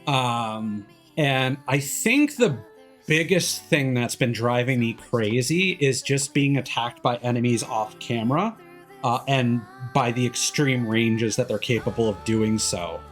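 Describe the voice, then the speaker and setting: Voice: medium pitch, expressive, a little slow, soft background music. A video game streamer giving casual, analytical commentary, rambling and often trailing off mid-thought. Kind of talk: casual livestream commentary while gaming.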